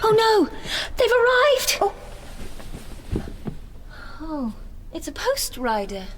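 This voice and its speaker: A woman playing a sheltered, wistful young gentlewoman in a gothic audio drama, speaking in a measured, literary way. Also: very high voice, huge pitch swings, slight background noise, heavily acted. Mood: eager. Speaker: lead actress and narrator. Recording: audio drama with clear background ambience.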